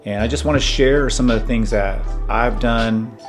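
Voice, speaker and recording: A man in his fifties hosting a podcast, talking earnestly and in a measured, conversational way about his own life. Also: mid-range voice, faint music behind. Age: 50s. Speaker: podcast host. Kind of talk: personal reflective monologue.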